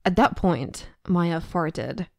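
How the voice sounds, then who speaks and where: A woman's voice: fairly low pitch, slow, dry recording, clean studio sound. A woman narrating a scripted audio drama as the storyteller.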